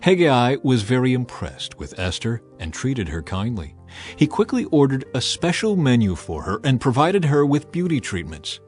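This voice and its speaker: Animated man narrating scripture over music. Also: mid-range voice, normal speed. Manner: dramatic, expressive storytelling. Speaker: Bible narrator.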